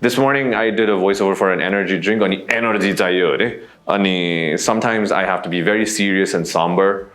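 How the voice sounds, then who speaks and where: Baritone young man, relaxed and wry. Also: rapid-fire, hardly any pauses. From Nepal. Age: young adult. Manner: relaxed, mixing in Nepali phrases, wry. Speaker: voice actor. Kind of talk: interview answers.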